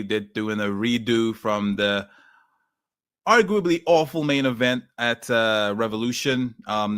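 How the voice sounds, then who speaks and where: A man's voice: on the low side, fairly bright tone, clean tone, dry recording, studio quality. A man co-hosting a casual podcast, rambling with many ums and uhs as he gives his takes. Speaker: podcast co-host. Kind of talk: casual chat.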